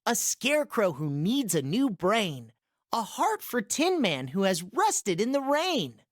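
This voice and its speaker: A woman's voice: medium pitch, big pitch swings, slow pace, dry recording, studio quality. A woman giving scripted rhyming storybook narration in a sing-song, bouncy way.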